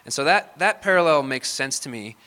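A man gives a sermon in a conversational, earnest tone, as if thinking aloud. His voice is bright and forward, with a smooth, resonant clarity. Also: mid-range voice, expressive, fairly quick. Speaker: preacher.

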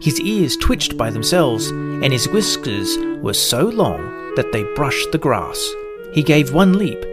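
A man reading a children's storybook aloud in a calm, measured, gentle way. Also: medium pitch. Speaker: narrator. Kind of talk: scripted storybook narration.

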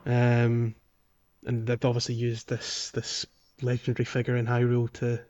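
A man with a mid-range voice co-hosts a gaming podcast. He talks casually and explains things haltingly, restarting his sentences as he goes. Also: medium pitch, completely flat delivery, darkish tone, highs cut off. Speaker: podcast co-host. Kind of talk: casual chat about a video game.

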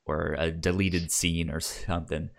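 Male podcast co-host, slow delivery, slightly low register, marked stammer, casual film chat. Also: flat delivery, creaky. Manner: stammering, repeats sounds and words.

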